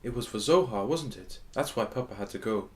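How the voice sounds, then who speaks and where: A man's voice: on the low side, lively intonation, brisk, a little hiss or hum, close to an everyday voice. A man playing an innocent, curious grandson in a radio drama, with a naive, questioning manner. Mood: puzzled, curious.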